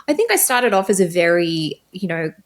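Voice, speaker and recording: A woman in her thirties from Australia with a softened Australian accent, chatting upbeat and laughing easily as an interview guest. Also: lowish voice, very animated, normal speed. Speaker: guest, tech creator. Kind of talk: casual interview chat.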